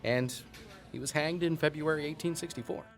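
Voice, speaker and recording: A man's voice: a little high, brisk, faint music behind, natural voice. A man playing a chatty small-town historian in an audio drama, telling local lore in a folksy, wry, digressive way. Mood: wry, resigned. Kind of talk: conversational storytelling in an audio drama.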